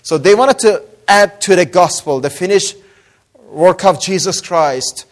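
A man's voice: high-pitched, unhurried. Man preaching with a mixed Azeri-Persian-Australian accent. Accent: Azeri, Persian and Australian mix. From Azerbaijani-Iranian background. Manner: builds from soft opening to raised emphatic preaching; hesitant fillers between phrases. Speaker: guest preacher. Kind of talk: sermon with scripture reading and prayer.

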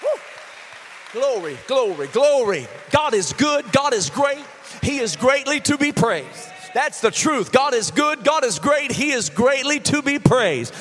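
High-voiced pastor preaching in a reverberant church. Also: male voice, sing-song, measured pace, a faint crowd. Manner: swings from quiet storytelling to shouted praise. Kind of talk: sermon.

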